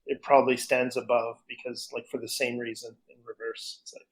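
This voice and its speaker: A man's voice: mid-range voice, little pitch movement, brisk, rough recording. A man in casual conversation as a podcast co-host. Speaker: podcast co-host and comic writer. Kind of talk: casual chat.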